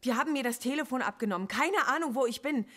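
An indignant, guarded professor. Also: female voice, high-pitched, expressive, fast, fairly bright tone. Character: indignant woman philosophy professor under interrogation. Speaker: detained suspect. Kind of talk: radio play acting.

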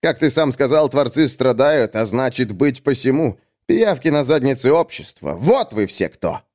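A sardonic, self-satisfied male critic, acted by a man narrating an audiobook. He delivers pompous, articulate taunts with a widely swinging pitch. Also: very animated, moderate pace, a little mellow, close to an everyday voice. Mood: contemptuous. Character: sardonic, smug, venal male art critic. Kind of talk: audiobook character acting.

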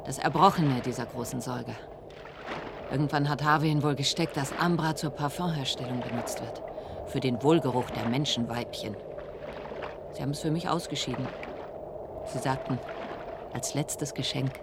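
A man's voice: medium pitch, normal speed, gravelly, pauses often, natural voice. An actor playing a musing, brooding man in a German radio drama, speaking dramatically. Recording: loud water and boat noise behind.

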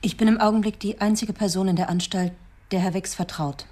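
Husky, earnest therapist played by a woman. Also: medium pitch, fairly even intonation, a little fast, darkish tone, lightly acted. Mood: earnest, determined. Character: earnest, determined female therapist, devoted to her patient. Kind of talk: radio drama acting.

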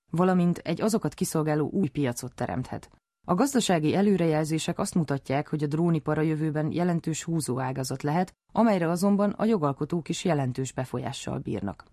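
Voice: mid-range voice, dark tone, no room sound. Dry-sounding narrator reading a script.

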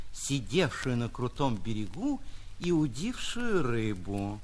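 A man reads literary fiction as a theatrical narrator. His mid-pitched voice moves in wide, animated swings, stretching key words and pausing for effect. There is slight noise in the recording. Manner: theatrical, drawn-out emphasis with dramatic pauses. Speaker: narrator, stage actor reading. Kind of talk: expressive literary short-story narration.

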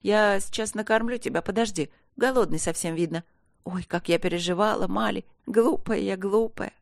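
A woman's voice: medium pitch, normal speed, gravelly, no room sound, studio quality, pitched above the performer's own voice, close to an everyday voice. A woman voicing a furious, tearful middle-aged wife in an audiobook, wailing and exclaiming her accusations. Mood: softened, fussing.

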